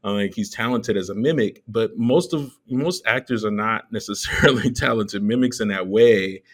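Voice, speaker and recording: Male co-host, slightly deep voice, conversational film commentary, measured and hesitant delivery. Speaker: podcast co-host.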